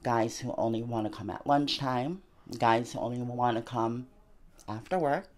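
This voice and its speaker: A woman's voice: rumbling low, moderate pace, a little mellow. A woman in her twenties, from Argentina, talking candidly in an interview in a small bedroom, full of "you know" and "like" fillers, into a handheld mic. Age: 20s. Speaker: interview guest. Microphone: handheld mic held by interviewer.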